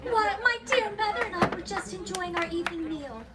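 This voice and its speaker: A woman's voice: extremely high pitch, fairly quick, over a noisy crowd. A woman acting a pure young farm damsel in hammy radio melodrama, overwrought and innocent. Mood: fretful innocence. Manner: breathy melodramatic indignation, overwrought. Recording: live stage with audience noise.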